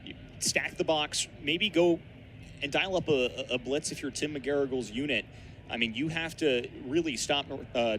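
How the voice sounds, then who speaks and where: A man's voice: medium pitch, fairly bright tone. A young man with an American accent, giving live color analysis on a football radio broadcast. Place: outdoor college football stadium. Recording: outdoor stadium broadcast, ambient background noise.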